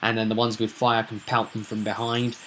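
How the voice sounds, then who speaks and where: A British man talking casually as a game master explaining his session, halting at times and stressing key words. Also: fairly low pitch, completely flat delivery, fairly bright tone, low-quality recording. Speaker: tabletop game master, vlog host. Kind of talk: casual explanatory vlog about a roleplaying session. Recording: noticeable background noise, whirring fan.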